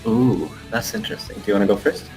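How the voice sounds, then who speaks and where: Low-voiced young guitarist. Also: male voice, fast, darkish tone, soft background music, low-quality recording. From Finland. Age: 20s. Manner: thoughtful, hesitant. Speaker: guitarist in a rock band. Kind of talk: interview answers.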